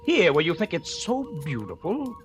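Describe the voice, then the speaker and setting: An actor playing a smug, needling, wealthy sugar planter in 1940s radio drama, with a sardonic, businesslike manner. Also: male voice, high-pitched, wildly swooping pitch, fairly bright tone, heavily acted. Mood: challenging. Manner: sardonic, taunting, brisk businesslike. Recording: old radio recording with music.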